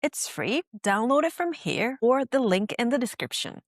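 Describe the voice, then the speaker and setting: Japanese woman teaching, dry lively delivery. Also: fairly high pitch, moderate pace, no room sound. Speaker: language teacher and show host. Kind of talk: scripted language lesson explanation.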